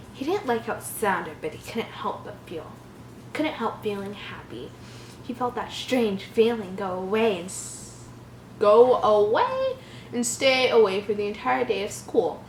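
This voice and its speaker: A woman reading a horror story aloud and breaking in with sarcastic, exasperated commentary. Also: medium pitch. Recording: roomy echo, faint background noise.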